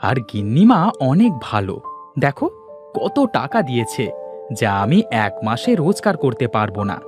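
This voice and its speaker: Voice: medium pitch, big pitch swings, measured pace, over music. A performer voicing a kind, dutiful village boy in a cartoon, earnest and eager in manner. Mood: reassuring.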